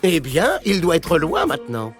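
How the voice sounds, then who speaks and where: Cheeky, high-pitched cartoon trickster. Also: male voice, wildly swooping pitch, moderate pace. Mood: sly, hesitant. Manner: playful, theatrical, mock-innocent. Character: cheeky, scheming wise-fool folk hero, adult man. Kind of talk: French children's cartoon acting.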